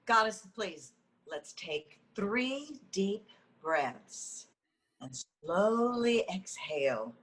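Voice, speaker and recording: A woman's voice: mid-range voice, very animated, very slow, a bit bright, heavily acted. A woman acting an earnest, easily exasperated leader of a women's goddess meeting in a comedy script read over a video call. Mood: earnest, preachy. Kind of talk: live comedy screenplay table read. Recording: online call with faint noise.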